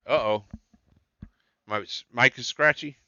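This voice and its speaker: A man's voice: medium pitch, a little slow, sharp tone, dry recording, dull audio. A man with a scratchy voice hosting a live game stream, muttering to himself as he searches through settings. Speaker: gaming streamer.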